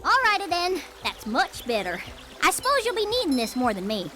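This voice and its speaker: Feisty female squirrel, Southern US drawl, extremely high, animated, cartoon game, loud music behind. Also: normal speed, exaggerated voice. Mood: satisfied. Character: bossy, fired-up young female squirrel, folksy. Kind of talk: cartoon video game voice acting.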